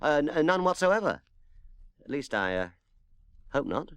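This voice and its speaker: Fussy polite dad voiced by a man, a bit flustered, pitch hopping around. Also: sing-song, normal speed, fairly bright tone, clean tone, no echo. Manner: courteous and slightly flustered. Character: Mister Wise, a polite fussy middle-aged father. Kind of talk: storybook reading.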